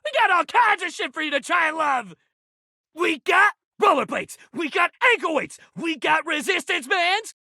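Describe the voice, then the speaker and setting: An eager, sports-mad gym buddy from a video game. The pitch leaps around in a very animated way. He talks in casual bro slang like an encouraging coach who badly wants to please, recorded dry and close. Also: moderate pace, gravelly, dry recording, heavily acted. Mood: hyped up.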